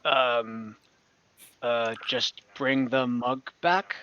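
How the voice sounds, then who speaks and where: A man's voice: mid-range voice, drawn-out words, sharp tone, highs cut off, slight background noise, voice pushed down. Hesitant, scatterbrained bartender played by a man. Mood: hesitant, casual. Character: easygoing male tavern bartender, hesitant and scatterbrained.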